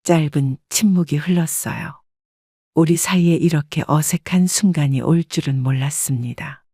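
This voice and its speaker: A woman in her seventies calmly narrating a reflective first-person life story. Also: low-pitched, normal speed, dry recording, clean studio sound. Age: 70s. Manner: calm, reflective.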